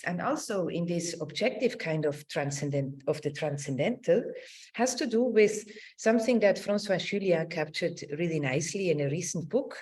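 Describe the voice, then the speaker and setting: A middle-aged woman giving an academic lecture over a video call, with a halting, searching delivery that circles back over words. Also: on the low side, a little mellow. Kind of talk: academic lecture with slides and read quotations. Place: indoors on a video call with screen sharing.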